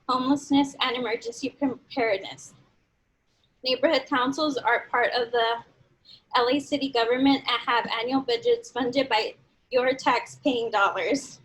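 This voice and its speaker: A woman's voice: sits high, rough recording. A young adult woman giving an earnest, motivational personal speech to an audience, her throat a little dry. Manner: earnest, motivational, leads audience in call-and-response. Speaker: speaker at a community event.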